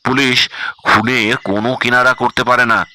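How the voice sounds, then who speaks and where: A man's voice: mid-range voice, little pitch movement, moderate pace, sharp tone. A man narrating a scripted horror story as its storyteller.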